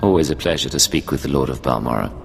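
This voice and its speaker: An actor playing a suave, cocky Imperial secret agent in a video game, with a deep gravelly voice and smooth assurance. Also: male voice, fast. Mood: cool, probing. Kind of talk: dramatic video game acting. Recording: long reverb under music.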